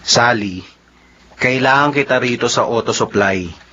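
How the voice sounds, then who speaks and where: An actor playing an earnest, soft-hearted Filipino shop owner, worried and reluctant, in a radio drama. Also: male voice, medium pitch, unhurried. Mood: earnest, pleading. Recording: dull, noisy lo-fi radio audio.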